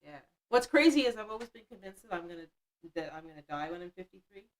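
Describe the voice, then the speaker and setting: Woman, 50s, livestream host; chatty, blunt, self-mocking, quick to laugh. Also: fairly low pitch, big pitch swings. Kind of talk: casual livestream chat reading the chat.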